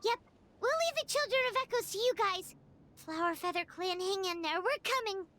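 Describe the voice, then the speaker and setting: Game casting: tiny loyal fairy girl, extremely high, bright squeaky voice, plucky, music underneath. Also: lively intonation, moderate pace. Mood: determined. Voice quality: squeaky, childlike. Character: Paimon, small childlike floating fairy girl companion, plucky, loyal. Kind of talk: video game voice acting.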